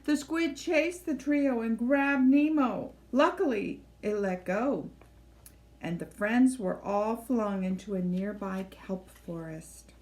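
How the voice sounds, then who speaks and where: A woman reading a children's story aloud in an expressive, measured storytelling style, with deliberate phrasing. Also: on the high side. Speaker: library storybook reader. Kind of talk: expressive scripted read-aloud narration for children.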